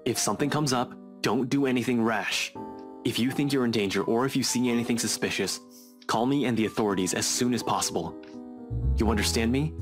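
A young male detective from a visual novel voiced by a man, earnest and brisk. His voice sits at an average pitch and has a protective, cautioning manner. Guitar music plays clearly underneath. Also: lightly acted. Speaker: voice actor in a visual novel game. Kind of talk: visual novel game voice acting. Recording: music behind the voice.

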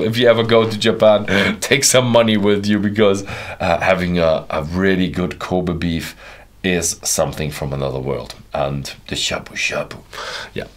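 A man in his thirties casually reviewing a whisky from an armchair in a small studio, rambling with many fillers and restarts. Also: deep voice. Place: indoor studio with whisky shelves, seated in armchair.

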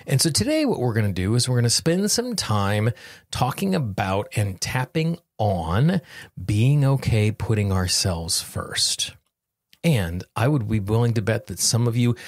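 A man hosting a self-help podcast, coaching listeners directly in measured, deliberate phrases. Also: on the low side, dry recording, studio quality. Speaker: podcast host and tapping coach.

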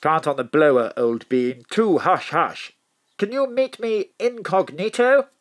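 An actor playing a hearty, plummy spy chief in an audio drama, jaunty, posh and emphatic, full of old-school slang. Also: male voice, a little high, animated, measured pace. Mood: jaunty, urgent.

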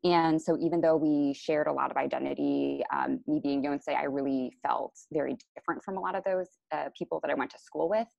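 A young woman from the Chicago area presenting over slides on a video call, relaxed and full of ums and self-corrections. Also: low-pitched, brisk, gravelly, few pauses, no room sound. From Chicago area, USA. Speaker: panelist. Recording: dull lo-fi video call audio.

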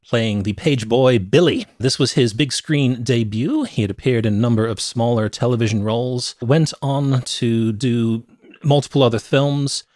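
A man's voice: mid-range voice, steady tone, normal speed, a little mellow, no room sound. A middle-aged man co-hosting a conversational movie review podcast, speaking into a close microphone in a small home room. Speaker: podcast co-host. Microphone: close podcast microphone with headset.

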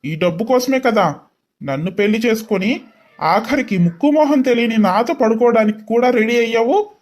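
A woman's voice: fairly high pitch, normal speed, darkish tone, voice pushed down, natural voice. A woman voicing a contemptuous, accusing young husband in a dramatized story. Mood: angry, accusing.

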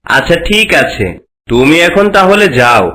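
A man's voice: on the high side, expressive, measured pace, sharp tone. A man voicing a sly, envious trickster who puts on friendliness, in a cartoon tale. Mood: friendly. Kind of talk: cartoon voice acting.

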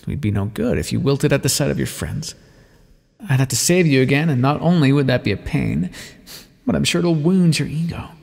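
A man playing an aloof, teasing vampire scientist in an ASMR roleplay, speaking wryly and formally with a gravelly voice. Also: mid-range voice, expressive, brisk, darkish tone, big-room sound. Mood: wry concern. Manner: wry, condescending, formal wording with pet names. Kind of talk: ASMR roleplay, scripted intimate monologue to the listener.

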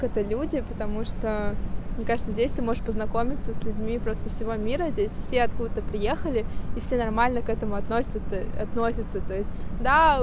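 A young woman from Russia, chatting casually and giggly to a handheld camera outdoors in a city park. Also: fairly high pitch. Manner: chatty, giggly, restarts and fillers. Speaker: vlogger. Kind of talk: casual vlog talk to camera. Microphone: handheld camera at arm's length. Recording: outdoor street noise. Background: street traffic and passersby.

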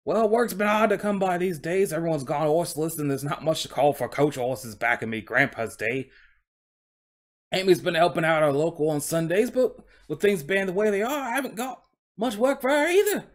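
Man putting on a high-pitched proud Cockney talking horse. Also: moderate pace, natural voice. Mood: wistful, chatty. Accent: put-on Cockney. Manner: colloquial, deferential, boastful working-class patter. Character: proud, chatty, aggrieved male talking coach horse from London.

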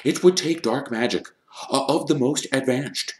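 A man voicing a wise old headmaster in a storybook, calm, measured and firm. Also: medium pitch, roomy sound, voice pushed up, close to an everyday voice. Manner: measured, soft but firm.

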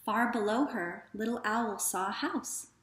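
A woman in her thirties reading a children's story aloud in a deliberate, expressive way. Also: on the high side. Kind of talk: children's read-aloud narration. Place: small living room, indoors. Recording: echoey living room.

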